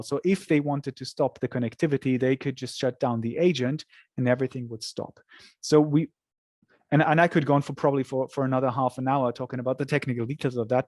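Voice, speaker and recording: A man's voice: medium pitch, darkish tone, no room sound. Man explaining in dry, close sound. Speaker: software company representative answering questions. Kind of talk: webinar interview, explanatory product talk.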